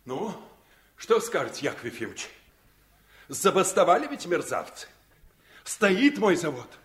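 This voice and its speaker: An actor playing an arrogant, greedy factory owner in a radio play, blustering and sneering with scorn. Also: male voice, high-pitched, very animated, a little slow, a bit bright, reverberant, full character voice. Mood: indignant. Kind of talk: Soviet radio play acting.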